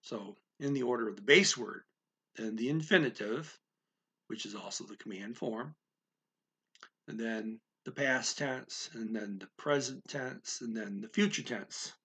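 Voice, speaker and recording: A man's voice: medium pitch, a bit bright, husky. A man in his sixties, in a small room at home, filmed by a webcam at his desk, explains grammar in a casual lesson, repeating key words and checking in with "okay" and "right.". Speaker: language teacher.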